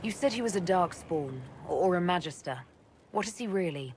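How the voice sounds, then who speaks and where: Composed, lower-voiced heroic leader. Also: female voice, animated, brisk, a little mellow, slight background noise. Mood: questioning. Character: calm, fair-minded female leader, resolute and reassuring. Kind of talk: video game dialogue acting.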